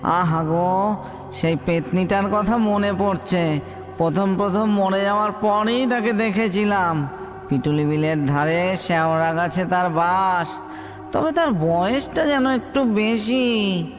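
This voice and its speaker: A man playing a sentimental, chatty village ghost in a comic audio drama, sighing wistfully over his words. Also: sits high. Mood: wistful, dreamy. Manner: sighing exclamations, sentimental, drawn-out. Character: lovestruck male village ghost, newly dead, wistful and chatty. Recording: long hall reverb under music.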